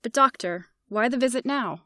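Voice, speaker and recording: Woman playing a formal, high-voiced planetary president. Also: animated, pushed and bright, no echo, studio quality. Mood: formal, gracious. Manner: formal, measured, courteous. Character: composed female alien planetary president, courteous and diplomatic. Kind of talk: audio drama acting.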